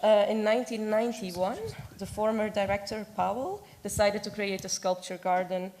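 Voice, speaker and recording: A woman delivers a prepared talk at a conference while losing her voice. Her pitch sits in a middle range and moves little, keeping the delivery even. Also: normal speed, a little hiss or hum. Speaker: conference presenter, objects conservator.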